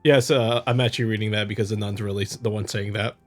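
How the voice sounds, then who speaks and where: A man's voice: lowish voice, steady tone, quick pace, faint music behind. A man hosting a visual novel playthrough, chatting casually and reading aloud, joking and stumbling over the text. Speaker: host, gamer.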